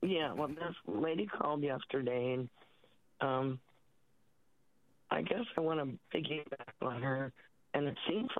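Over a narrow, tinny phone line comes an American woman with a very low, husky voice, still getting over a cold. She is a radio caller who rambles casually, halting as she searches for words. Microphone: telephone.

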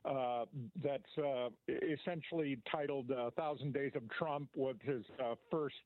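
A man calling into a radio show, talking haltingly with many pauses and fillers. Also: medium pitch, steady tone, no room sound. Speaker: radio caller. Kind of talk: phoned-in talk radio call. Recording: dry, tinny phone line.

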